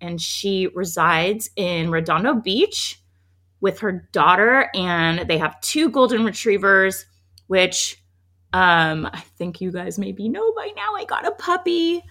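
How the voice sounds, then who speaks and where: A woman podcast host with a bright, forward voice that crackles with fry. She sounds a little scratchy and under the weather, yet talks in a chatty, effusive, emphatic style. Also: mid-range voice, lively intonation, takes it slowly. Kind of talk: upbeat conversational podcast intro and interview.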